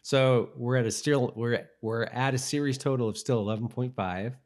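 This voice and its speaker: An American man with a medium-pitched voice co-hosting a rewatch podcast. He talks in a loose, conversational way and tends to chuckle through his remarks. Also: steady tone, moderate pace, a little mellow. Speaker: podcast co-host. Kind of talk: casual TV rewatch podcast chat.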